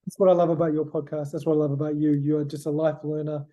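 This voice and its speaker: A man's voice: on the high side, level tone, fast talker, warm tone. A man from Sydney, a company founder answering interview questions in a fluent, thoughtful way with many fillers. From Sydney, Australia.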